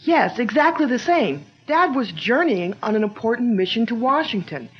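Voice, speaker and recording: A woman's voice: a little high, very animated, normal speed. An actress playing an earnest, brave young woman on the trail of her father's killer, in a 1940s-style radio drama. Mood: earnest, urgent. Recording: dull lo-fi old radio recording.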